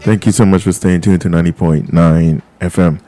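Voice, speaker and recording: A man's voice: low voice, fairly even intonation, brisk, warm tone, soft background music. A man hosting a live radio show, interviewing a guest with loose, rambling questions. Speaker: radio talk show host. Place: radio studio.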